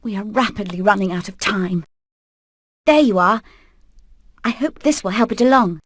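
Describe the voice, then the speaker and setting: A woman's voice: mid-range voice, animated, normal speed, dry recording, lightly acted. A woman voicing a determined young hen who leads an escape, earnest and urgent, in a cartoon video game. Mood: urgent, businesslike. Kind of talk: animated film game voice acting.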